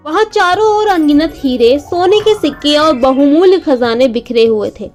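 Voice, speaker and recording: A woman with a high-pitched voice reads a children's story. She narrates from a script as a bedtime storyteller. Soft music plays underneath. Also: animated, moderate pace.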